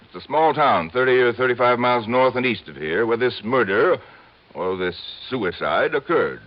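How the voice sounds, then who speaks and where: An actor playing a pompous, impatient insurance executive in an old-time radio drama, demanding and fussy in manner. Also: male voice, medium pitch, lively intonation, normal speed, natural voice. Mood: bossy, curt. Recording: muffled vintage radio recording.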